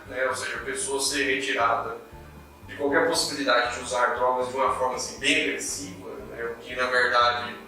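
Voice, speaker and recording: Very bright-voiced man, echoey room. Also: medium pitch, flat delivery, a little fast, far from the mic, faint music behind. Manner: reads news items aloud, agreeing interjections. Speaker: political commentary co-host. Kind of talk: casual political discussion.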